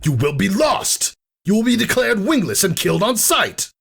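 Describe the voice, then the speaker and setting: A man performs a haughty pegasus warrior in a fantasy story, pitched well up from his own voice and swinging widely. He is menacing and scornful, declaiming in a husky rage. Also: very animated, normal speed, fairly bright tone, full character voice. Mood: furious, threatening. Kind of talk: dramatic fan fiction reading.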